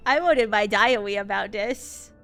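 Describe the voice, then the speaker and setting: A young woman streamer giving casual game reaction commentary in an expressive, sarcastic, slangy and sweary way. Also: mid-range voice, moderate pace, faint music behind. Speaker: VTuber streamer.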